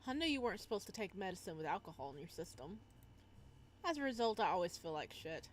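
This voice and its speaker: A woman's voice: fairly low pitch, sing-song, fast talker. Playful woman streamer, noisy background. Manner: playful, teasing asides. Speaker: streamer reading and reacting to a visual novel. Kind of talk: casual playthrough commentary mixed with read-aloud narration. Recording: noticeable background noise.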